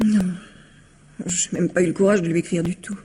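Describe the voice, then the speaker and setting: A woman's voice: on the low side, fairly even intonation, brisk, dark tone, natural voice. An actress playing a distressed, trusting married woman in a vintage radio mystery, hesitant and docile. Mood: docile, grateful. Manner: anxious, hesitant, compliant.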